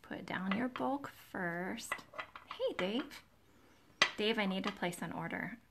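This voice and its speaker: A woman hosting a live nail tutorial in a chatty, relaxed way, with a soft, breathy, soothing voice that often drops near a whisper, close to the microphone. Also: medium pitch, slow pace, background noise. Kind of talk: chatty live-stream demonstration, greeting viewers. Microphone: close to the camera's microphone.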